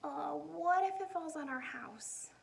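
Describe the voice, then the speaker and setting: A woman's voice: high voice, unhurried, gravelly, pitched above the performer's own voice, heavily acted. A woman voicing an anxious little girl mouse in a storybook, with fretful, questioning delivery. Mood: worried.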